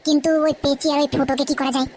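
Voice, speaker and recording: A woman's voice: sits high, flat delivery, very fast, a little mellow, slight background noise, natural voice. A woman voicing a whiny, theatrical and cunning young wife in a comedy cartoon. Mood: sly plotting. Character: scheming young wife, tearful and wheedling, secretly cunning. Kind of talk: comic cartoon dialogue.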